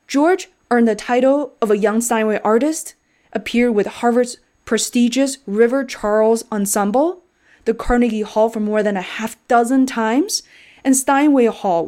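A woman's voice: fairly high pitch, warm tone. A woman podcast host who reads a scripted introduction and then chats with her guest in an encouraging way.

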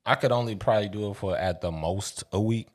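Laid-back low-voiced man chatting. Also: fairly quick. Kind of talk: casual chat among friends.

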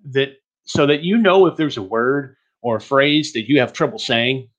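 A man's voice: medium pitch, animated, normal speed, dull audio. A man from Texas presenting an online teaching webinar in a rambling, joking, self-deprecating way.

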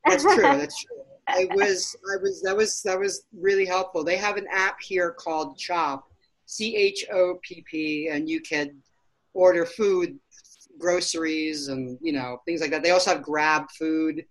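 A woman's voice: on the low side, a little slow, poor audio. A woman chatting casually on a video call, stumbling over restarts and often laughing. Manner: stammering restarts, frequent laughter. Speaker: participant in a video call chat. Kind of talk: casual chat.

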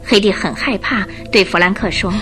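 A woman narrating a scripted Chinese radio drama in an expressive storytelling manner. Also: mid-range voice, moderate pace, faint music behind.

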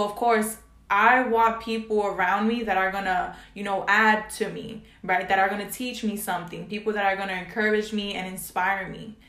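A woman's voice: medium pitch, a bit bright. Host, woman, 24, affirming and encouraging, casual talk, roomy sound. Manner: encouraging, affirming, frequent "you know" and "right". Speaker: podcast host. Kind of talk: casual chat. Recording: echoey room.

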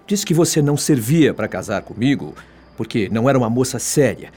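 A man's voice: mid-range voice, lively intonation, fairly quick, mellow, natural voice. An actor with a Brazilian accent playing a dejected young man in a radio drama, speaking frankly and with earnest emotion. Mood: hurt, indignant. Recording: faint music bed behind. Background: music.

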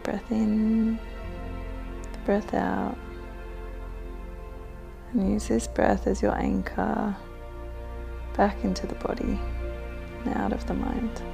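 A woman leading a guided meditation with gentle, soothing instructions. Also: mid-range voice, little pitch movement, slow pace, gravelly, pauses often, over music.

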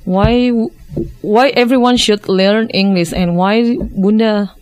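A woman's voice: mid-range voice, steady tone, slow, darkish tone, clean tone, no room sound. A woman in her thirties from Indonesia with an Indonesian accent, talking as a guest in a discussion, with many hesitations and restarts. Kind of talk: casual discussion.

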